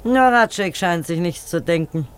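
Actress as a gossipy older village aunt. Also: female voice, lowish voice, lively intonation, normal speed, clear, resonant tone, lightly acted. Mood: insinuating. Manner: folksy, insinuating. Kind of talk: German radio play acting.

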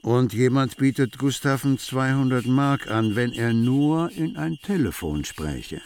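An actor playing a wry, measured storyteller, narrating a literary radio drama with deliberate phrasing. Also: male voice, mid-range voice, lively intonation, darkish tone, slight background noise. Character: wry, measured male storyteller of a city night tale. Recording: prominent music behind the voice.

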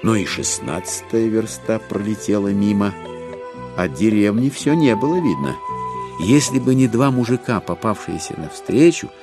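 A man narrating a classic literary audio drama with expressive, theatrical phrasing. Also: lowish voice, moderate pace. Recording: music behind the narration.

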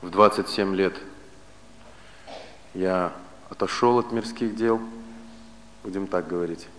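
A man in his late thirties giving a spiritual philosophy lecture, speaking deliberately in short, didactic phrases with pauses and repetition. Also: sits low. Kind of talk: lecture on Vedic philosophy to a live audience. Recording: reverberant hall, loud steady background noise.

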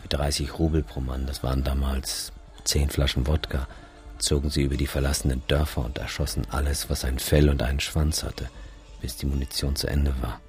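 Very deep-voiced, sombre photographer. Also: male voice, little pitch movement, normal speed. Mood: grim, sardonic. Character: sombre, sardonic male photographer recalling a disaster. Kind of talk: radio drama monologue. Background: music.

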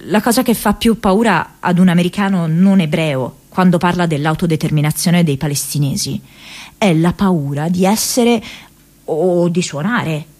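Actress as a halting, earnest young activist woman. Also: fairly low pitch, a little mellow, natural voice. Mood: reflective, earnest. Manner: halting, reflective, conversational, with hesitations and repeated words. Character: young American woman activist reading her diary, earnest, searching. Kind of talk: radio theatre monologue.

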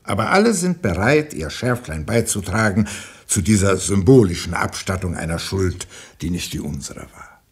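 An actor playing a pompous, evasive government minister in a German radio play, speechifying in a husky voice with rhetorical flourishes. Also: male voice, low-pitched, lively intonation, moderate pace. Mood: grandiose.

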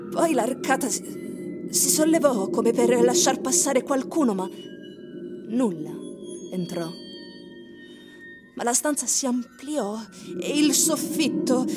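An actress playing a solemn storyteller, narrating an eerie science-fiction radio drama with deliberate, suspenseful literary phrasing. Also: female voice, on the high side.

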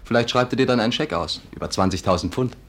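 An actor in a 1950s German radio play playing a glib, affectionate private detective with a breezy, flippant manner. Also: male voice, medium pitch, fast talker, close to an everyday voice. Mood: coaxing.

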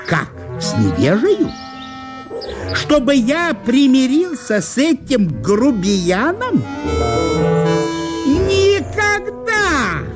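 An actor playing a proud, stubborn, touchy provincial gentleman in a radio play, exclamatory and easily indignant. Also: very high voice, wildly swooping pitch, drawn-out words, long pauses, over loud music, voice pushed up, heavily acted. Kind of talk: dramatised literary monologue for radio.

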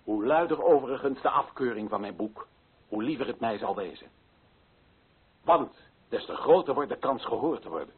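Impassioned husky author, wildly swooping delivery. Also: male voice, medium pitch, lively intonation, normal speed, pauses often. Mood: resolute. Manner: declamatory, rhetorical, emphatic. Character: impassioned, indignant male author denouncing colonial injustice. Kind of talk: dramatic radio play monologue. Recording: tinny, phone-like narrow-band sound.

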